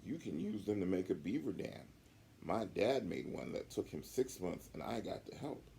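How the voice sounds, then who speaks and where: A man's voice: sits low, big pitch swings, moderate pace, vocal fry, dark tone, a little hiss or hum, heavily acted. A man voicing a blunt but good-hearted young beaver schoolboy in a storybook. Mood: opening up.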